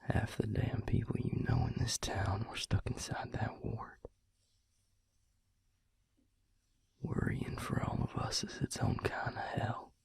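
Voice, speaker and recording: Man playing a husky, whispering, weary vampire lover. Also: fairly low pitch, wildly swooping pitch, heavily acted. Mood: gentle, concerned. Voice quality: breathy, husky, gravelly half-whisper. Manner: hushed, halting, with long pauses. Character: tender, weary male vampire lover, grieving survivor. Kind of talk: intimate audio roleplay, speaking to a listener.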